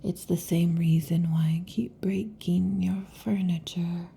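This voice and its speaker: A woman voicing a possessive, overheated female lamia in an ASMR roleplay, whispering softly and teasingly into a close mic. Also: fairly low pitch, level tone, a little slow, vocal fry, breathy edge, full character voice. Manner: soft intimate whispering, teasing. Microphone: close sensitive mic.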